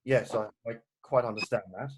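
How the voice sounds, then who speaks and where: A man reading a stern, indignant, proper gentleman in an amateur play read-through on a video call. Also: mid-range voice, steady tone, fairly quick, a little hiss or hum, close to an everyday voice. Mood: stern, irritated.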